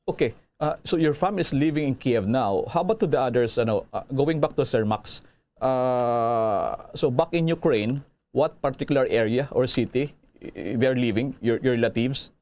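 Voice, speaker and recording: A man's voice: medium pitch, normal speed, darkish tone. A man with a Filipino accent hosting a radio interview, hesitant, with many fillers. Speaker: news anchor.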